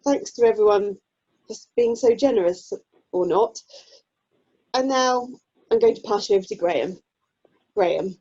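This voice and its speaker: A woman's voice: mid-range voice, moderate pace, pauses often, dark tone, slightly rough. A woman playing a wry, officious drama society announcer with a British accent and dry delivery, in a radio play. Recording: dull online call audio.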